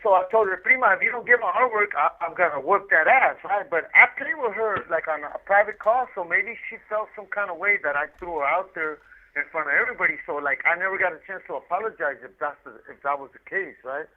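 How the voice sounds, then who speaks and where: A man's voice: high-pitched, fast talker. A talkative man chatting casually over a phone, telling rambling, slangy anecdotes and repeating himself as he goes. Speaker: incarcerated guest calling in by collect call. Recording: muffled, tinny prison phone line.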